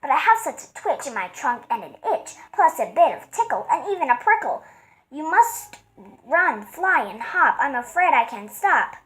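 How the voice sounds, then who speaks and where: Fretful big elephant for a storybook read-aloud, done by a woman; high, squealy, jittery. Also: very animated, normal speed, fairly bright tone, rough recording. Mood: apologetic. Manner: squealing, fretful, then giggling. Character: big nervous male elephant, sorry and jittery.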